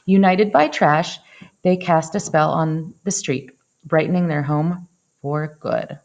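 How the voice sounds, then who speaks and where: A woman reading a picture book aloud as a storyteller, in an expressive, dramatic way. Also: lowish voice, vocal fry, lo-fi sound. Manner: dramatic, acts out exclamations. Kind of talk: expressive children's read-aloud.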